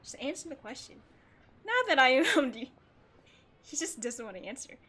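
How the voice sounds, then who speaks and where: A woman's voice: high voice, animated, moderate pace, a bit bright, slightly rough. A woman hosting a casual gaming livestream, chatting playfully as she comments on the game and reads bits of dialogue aloud. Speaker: livestream host.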